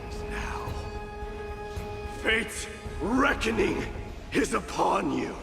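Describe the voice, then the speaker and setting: Game casting: proud young warrior prince, gritty fry, slow delivery, grief under the solemnity. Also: male voice, high-pitched, very animated, exaggerated voice. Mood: cold, vengeful. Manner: solemn, deliberate, dramatic. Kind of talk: dramatic video game voice acting. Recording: long reverb, music underneath. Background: music.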